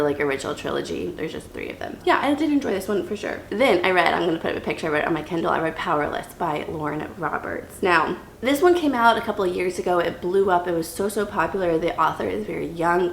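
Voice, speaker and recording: A woman's voice: on the low side, a little hiss or hum. Chatty woman vlogger in an echoey room. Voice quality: slightly stuffy, congested. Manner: chatty, enthusiastic, repeats words for emphasis. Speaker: book vlogger. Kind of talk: casual chatty reading wrap-up to camera.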